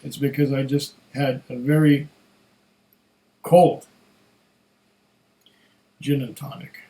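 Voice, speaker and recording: A man with a slightly hoarse voice giving a casual solo tasting review, musing in an unhurried, savoring way and talking to himself. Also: medium pitch, flat delivery, mellow. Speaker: whisky reviewer.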